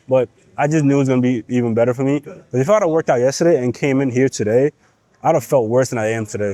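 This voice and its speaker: A man's voice: mid-range voice, dark tone. Nasal-sounding young man, sick. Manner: blunt, profane, pep-talking. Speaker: fitness vlogger. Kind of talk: casual gym vlog talk. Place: gym.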